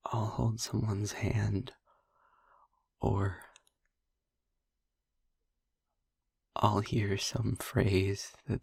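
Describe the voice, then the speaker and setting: A man playing a traumatised young vampire boyfriend in an intimate audio roleplay, speaking in a breathy hush with halting pauses. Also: fairly low pitch, no echo, clean studio sound, heavily acted. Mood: haunted, fearful. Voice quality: breathy, whispery. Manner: halting, hushed, pausing mid-sentence.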